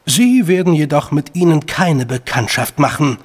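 An actor playing a self-satisfied, urbane British government gentleman in an audio drama, with formal speech. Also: a little high, expressive, moderate pace, slightly rough. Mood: smug.

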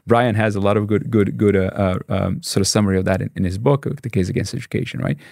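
Man, brazilian portuguese accent, rapid speech, gravelly edge, dry studio sound, repeats words as he talks. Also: on the low side, no echo. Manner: fast, animated, many restarts and repeated words. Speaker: statistics professor, guest. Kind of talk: casual interview conversation. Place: dark podcast studio. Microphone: studio mic on boom arm, headphones.

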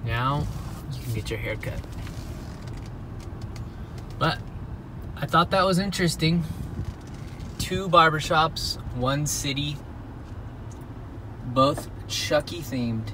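A man's voice: fairly high pitch, slow, pauses often, noisy background. An older man vlogging outdoors as he walks a city street, talking in a chatty, musing way and often breaking off to rethink his words. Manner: chatty, musing, self-interrupting. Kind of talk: casual walking vlog.

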